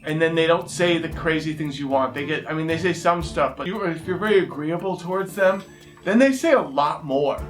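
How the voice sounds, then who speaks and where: A man's voice: fairly high pitch, a little fast, faint music behind. A man chatting casually and wryly as a commentator, paraphrasing other people mockingly. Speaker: interviewer and commentator. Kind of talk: casual reaction chat.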